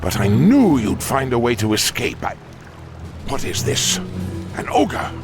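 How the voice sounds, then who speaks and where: A man's voice: mid-range voice, huge pitch swings, unhurried, over music. An actor playing a proud, unforgiving older admiral and father in a video game, grand and condescending in manner. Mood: relieved, then shocked. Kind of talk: video game cutscene acting.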